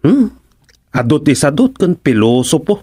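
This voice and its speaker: Village watchman, Filipino accent, fairly high voice, grumbling and teasing, radio comedy-drama. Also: big pitch swings, normal speed, warm tone, husky. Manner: exclaiming, repetitive.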